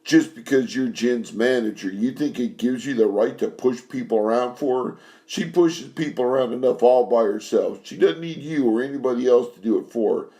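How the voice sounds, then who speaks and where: A man's voice: medium pitch, moderate pace, few pauses, dark tone, pitched above the performer's own voice, close to an everyday voice. A man voicing a huge, hot-tempered band leader who blusters and threatens. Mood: angry.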